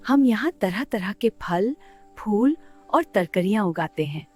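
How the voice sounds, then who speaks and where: A woman playing a kindly older village farmer in a children's cartoon, speaking gently and clearly. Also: medium pitch, lively intonation, measured pace, soft background music, close to an everyday voice. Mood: kindly, content. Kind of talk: scripted children's educational cartoon dialogue.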